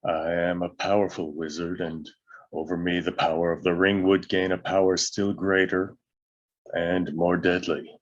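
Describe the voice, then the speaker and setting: A man plays a brooding old wizard with a lowish voice and a weighty, portentous delivery. He sounds commanding and deliberate, as in a fantasy table read, through slightly muffled audio. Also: flat delivery. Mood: grave, ominous.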